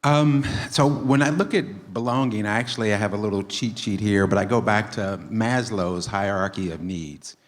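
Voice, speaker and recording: A man from the United States takes part in a panel discussion. His voice is on the low side, and he talks in a relaxed, casual way, often starting sentences with a filler. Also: moderate pace, few pauses. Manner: easygoing, starts with ums.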